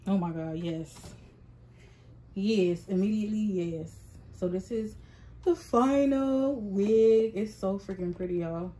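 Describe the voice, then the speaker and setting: A woman's voice: medium pitch, takes it slowly, mellow. A young adult woman who makes wigs, talking casually to her viewers as she vlogs her day on a phone held at arm's length, calling out to them over and over. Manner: chatty, keeps calling out to viewers with "y'all". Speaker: wig maker and vlogger. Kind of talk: casual vlog talk to camera. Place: home wig studio, then the gym, home and a mall at night. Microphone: phone held close at arm's length.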